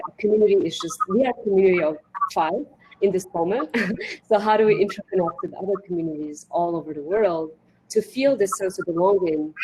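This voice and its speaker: A woman's voice: on the low side, dark tone, slight background noise, poor audio. A woman from Morocco speaking as a panelist and tech founder, telling her story in an earnest, storytelling way. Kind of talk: conference panel talk.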